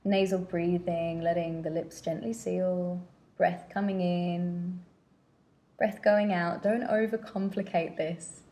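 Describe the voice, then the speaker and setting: A woman leading a guided meditation with gentle, unhurried instruction and pauses between phrases. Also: lowish voice, level tone.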